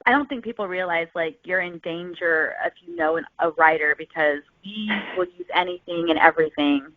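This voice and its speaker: A woman's voice: lowish voice, a little fast, no echo. A woman novelist chatting casually as a podcast guest over a call. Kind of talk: casual interview chat. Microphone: phone or online call. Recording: tinny phone-like line, dry.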